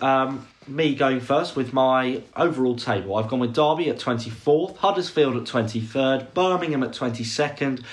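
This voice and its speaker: A talkative, opinionated man co-hosting a podcast in an easy, casual way. His voice sits in an ordinary middle pitch. He runs his points together quickly and drifts into asides. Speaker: podcast co-host. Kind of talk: casual football chat.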